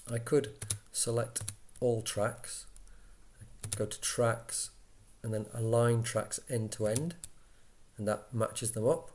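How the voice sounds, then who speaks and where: A man giving an informal software walkthrough, explaining step by step in a conversational way as an instructor. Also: medium pitch, fairly even intonation, slow, slight background noise.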